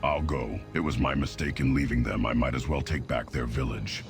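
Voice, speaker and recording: An actor playing a gruff, stoic half-ogre warrior in a video game, terse and blunt. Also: male voice, a bass voice, fairly even intonation, a little fast. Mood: resigned, blunt. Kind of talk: video game character acting. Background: music.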